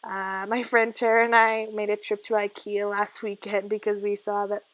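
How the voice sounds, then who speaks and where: A young woman from Canada with a husky, croaky voice, casually narrating her scrapbooking in a home basement, full of "uh" fillers and soft laughs. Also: mid-range voice, level tone, moderate pace, no room sound. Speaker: scrapbooking vlogger. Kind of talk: casual voice-over narration of crafting. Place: home basement craft area.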